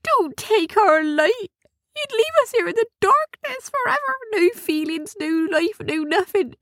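Woman doing wheedling little gnomes, squeaky high, storybook reading. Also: sing-song, normal speed, no echo, studio quality. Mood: begging. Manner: whimpering, wheedling, rustic grammar. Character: small sneaky pleading gnomes.

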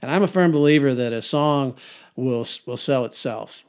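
An older man talks through his songwriting habits in a loose, meandering way. He restarts phrases, repeats words and laughs softly to himself. His voice sits at an ordinary middle pitch. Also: moderate pace, clear, resonant tone. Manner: rambling, repeats words, chuckles often. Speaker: singer-songwriter guest. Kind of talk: casual interview answers.

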